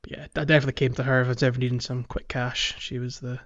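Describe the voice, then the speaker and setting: A man's voice: mid-range voice, little pitch movement, rapid-fire, a bit bright, highs cut off. A man hosting a casual gaming podcast, chatting in a jokey way and prone to chuckling. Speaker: podcast host.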